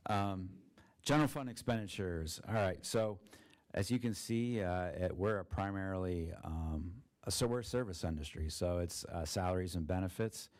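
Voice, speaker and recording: Low monotone man presenting a budget. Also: moderate pace, no echo. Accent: Ohio. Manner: monotone, many fillers and restarts. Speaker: school district treasurer. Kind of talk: board meeting budget presentation. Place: meeting room.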